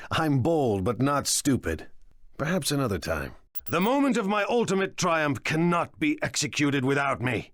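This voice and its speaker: An actor playing a smug, ambitious crime lord in a video game, wry and grandiose in manner. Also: male voice, medium pitch, dry recording, studio quality, lightly acted. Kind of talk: video game cutscene acting.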